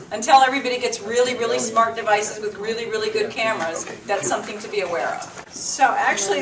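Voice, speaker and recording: A woman giving an informal, conversational workshop presentation to a small group, explaining things plainly. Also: on the high side. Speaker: presenter at a business meetup. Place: meeting room with a small group. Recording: roomy, distant mic with clear noise.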